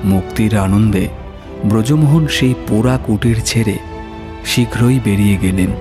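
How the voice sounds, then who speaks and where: A man narrating a horror story in a dramatic, suspenseful way, with pauses for effect. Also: on the low side. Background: soft music.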